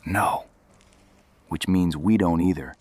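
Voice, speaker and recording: A man's voice: sits low, takes it slowly, darkish tone, breathy edge, no echo, full character voice. Clipped, commanding father, dry sound. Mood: urgent, hushed. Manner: clipped, matter-of-fact. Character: terse, commanding father leading an escape. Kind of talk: fiction podcast drama acting.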